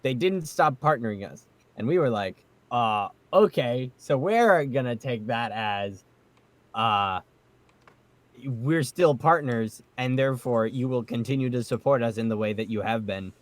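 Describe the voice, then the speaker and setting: A man hosting a casual group podcast, talking in an excitable, jokey way with some mild swearing. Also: mid-range voice, measured pace, no echo. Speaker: podcast host and amateur voice actor.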